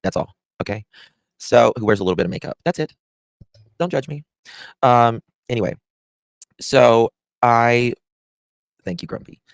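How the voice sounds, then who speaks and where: A man giving casual livestream commentary as host, chatty and halting, repeating himself for emphasis. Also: mid-range voice, expressive, creaky, clean tone, dry recording. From Southern United States.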